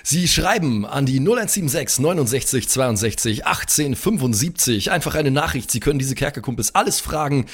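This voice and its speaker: A man hosting a podcast, delivering an insistent, improvised comic sales pitch like a street hawker. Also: expressive, a little fast, few pauses, slightly rough. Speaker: podcast host.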